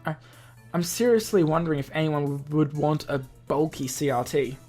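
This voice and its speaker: A man's voice: fairly high pitch, moderate pace, mellow, soft background music. A man reading a visual novel aloud as a let's player, stumbling over lines and adding casual explanatory asides. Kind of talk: game narration with casual asides.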